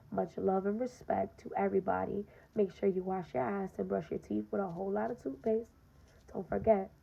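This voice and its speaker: A young woman with a raspy voice, hosting her podcast and speaking earnestly and directly to listeners in a casual personal monologue, often saying "um" and "you know". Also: medium pitch, warm tone. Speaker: podcast host. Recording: dull lo-fi recording.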